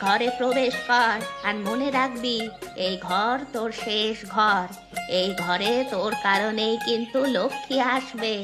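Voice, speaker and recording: A woman's voice: a little high, measured pace, lightly acted. Woman as a chiding old village granny, music behind. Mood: fondly chiding. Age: older. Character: kindly, familiar old village granny who scolds fondly. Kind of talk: animated moral-story voice acting.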